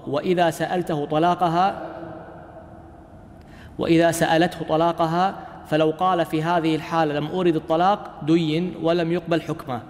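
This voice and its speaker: A man reads a classical legal passage aloud for his teacher. His delivery is slow, even and nearly monotone, with a slightly raised pitch. The sound carries a long hall reverb over a faint background hiss. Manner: slow, even, deliberate reading. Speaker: student reading the text aloud. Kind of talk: measured recitation of a classical legal text.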